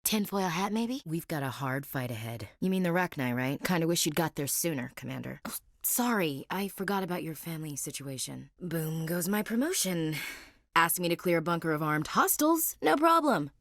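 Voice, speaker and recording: A woman's voice: low voice, animated, normal speed, no room sound, clean studio sound. An actress voicing a frank, wry young woman soldier in a video game, plain-spoken and devout. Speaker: marine gunnery chief. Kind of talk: video game character dialogue.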